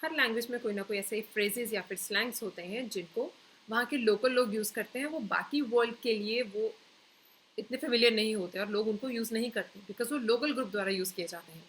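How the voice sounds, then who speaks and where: A woman's voice: a little high, brisk, fairly bright tone, a little hiss or hum, low-quality recording. Indian woman teaching English phrases. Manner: explains step by step, repeats phrases for the viewer to say along. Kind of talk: language lesson mixing Hindi and English.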